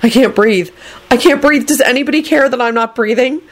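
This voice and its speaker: A woman's voice: fairly high pitch, animated, normal speed, pitched above the performer's own voice. A woman voicing a flustered, exasperated man who blurts frantically in a story reading. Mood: frantic. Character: flustered, vehement young man, easily exasperated.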